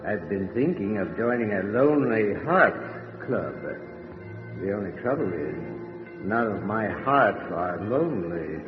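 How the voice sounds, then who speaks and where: A man's voice: lowish voice, animated, a little slow, music in the background. A man playing a ghoulish, gleefully macabre radio horror host, with a theatrical, punning delivery. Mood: macabre glee. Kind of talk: old-time radio horror introduction. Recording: dull radio sound with long reverb.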